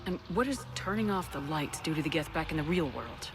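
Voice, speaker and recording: A woman's voice: low-pitched, animated, fairly quick, over a noisy crowd. A woman playing a tough, sceptical military commander in a video game, with a dry, questioning delivery.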